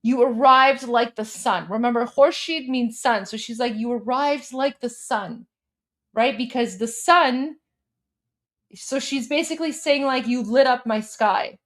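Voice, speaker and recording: Casting note: young woman as a talkative livestream co-host, bright high voice, casual, lots of fillers and restarts. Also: moderate pace. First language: Persian heritage, English first language. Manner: chatty, many restarts and fillers, explaining. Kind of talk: casual livestream talk translating a song.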